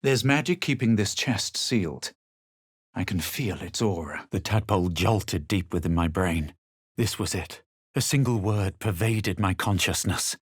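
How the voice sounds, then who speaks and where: A self-assured fantasy game adventurer with a low, husky voice. He speaks in measured, dramatic phrases with a superior edge. The recording is close and dry. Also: lively intonation, no room sound, heavily acted. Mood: watchful. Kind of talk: video game voice acting, barks and past-tense narration.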